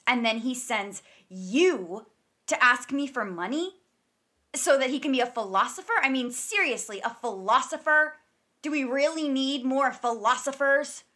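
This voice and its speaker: A woman's voice: sits high, sharp tone, exaggerated voice. A woman playing a guarded, sardonic massage therapist with clipped, deflecting replies in a naturalistic audio play. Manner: clipped deflections, sarcastic when riled.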